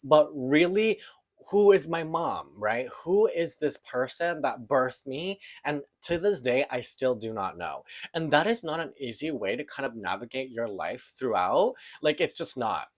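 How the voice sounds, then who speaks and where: A man's voice: medium pitch, sing-song, unhurried. A man in his thirties from the Philippines, talking through his own past in an earnest, searching, open way. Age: 30s. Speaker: podcast host. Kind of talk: personal reflective monologue.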